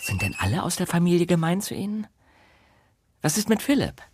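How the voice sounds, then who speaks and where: An actor playing a polite young fiancé who investigates in a German radio crime drama, asking questions gently and a little hesitantly. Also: male voice, high voice, sing-song, fairly quick, background noise. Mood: probing.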